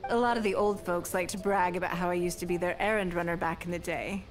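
An actress voicing a poised, wealthy female leader in a game, speaking in a measured, refined, self-assured way. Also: on the low side, steady tone, over music, natural voice, rough recording. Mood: composed. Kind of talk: game character dialogue.

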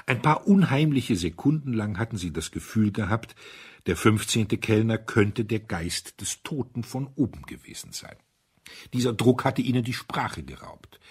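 Husky male storyteller with lively intonation. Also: lowish voice. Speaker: audiobook narrator. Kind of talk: dramatic scripted story narration.

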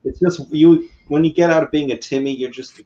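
A man's voice: fairly high pitch, fairly even intonation, a little fast, dark tone. Young man, slightly dull call audio. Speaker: podcast guest. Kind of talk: casual explanatory chat. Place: living room couch, indoors.

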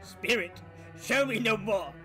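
Anguished old miser, acted by a young man, very high, piercing, slow pleading over music, stagey radio-play style. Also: pushed and bright, heavily acted. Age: teenage. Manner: anguished, theatrical. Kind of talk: radio drama acting.

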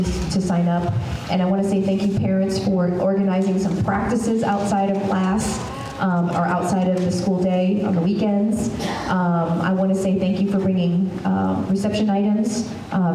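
A woman in her thirties, hoarse from a head cold, giving a friendly welcome speech at a school concert through a handheld mic, with lots of ums. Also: mid-range voice, background noise. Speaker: school strings teacher. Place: school hall, large room. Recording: echoey hall, taped from far off.

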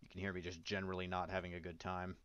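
A man's voice: sits low, monotone, very fast, fairly bright tone, clean tone, no room sound. A man narrating a casual how-to video as its host, with wry, self-deprecating asides, in a voice-over. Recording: dull, lo-fi, dry voice-over.

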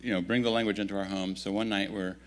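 A man in his thirties who grew up in Italy, giving a relaxed, self-deprecating missionary update in a church at a stand mic. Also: deep voice, fast talker. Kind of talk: casual storytelling talk to a congregation. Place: church sanctuary, indoors. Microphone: stand microphone.

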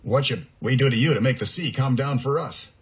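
A man's voice: mid-range voice, a little fast, voice pushed up, lightly acted. Man acting an urgent, alarmed sailor. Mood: urgent, demanding. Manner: urgent, questioning, pleading. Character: alarmed, demanding sailors and ship's captain.

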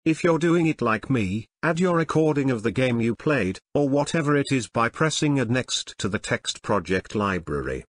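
A synthetic text-to-speech man's voice, giving scripted step-by-step tutorial narration in a measured, instructional way. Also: medium pitch, lively intonation, dry recording.

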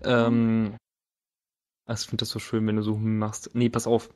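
A man acting as game master, narrating an improvised tabletop game in a playful, halting, chatty way. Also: fairly low pitch, completely flat delivery, darkish tone. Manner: playful, joking, halting descriptions.

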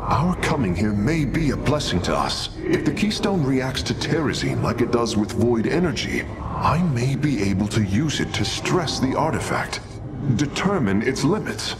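An actor playing a pragmatic, studious alien engineer in a video game, talking in a precise, analytical, formal manner. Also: male voice, mid-range voice, big pitch swings, normal speed, very noisy, natural voice. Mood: analytical, intrigued. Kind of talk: dramatic video game cutscene acting. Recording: loud humming ambience behind.

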